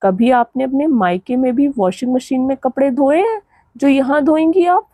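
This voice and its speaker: A woman's voice: sits high, moderate pace, dark tone, no echo, studio quality. A woman voicing a haughty, boastful young daughter-in-law in a cartoon moral story, with a taunting, condescending manner. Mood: boastful, scornful. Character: haughty young city daughter-in-law flaunting her dowry.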